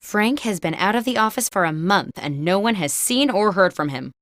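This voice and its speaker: An office interviewer hosting a video game, played by a woman, with a mid-pitched voice that swings expressively up and down. She delivers scripted workplace prompts with crisp enunciation, recorded very dry with no room sound. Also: lively intonation, normal speed, a bit bright, no room sound, close to an everyday voice. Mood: composed, quizzical. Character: office job interviewer posing workplace questions. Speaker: game host reading prompts.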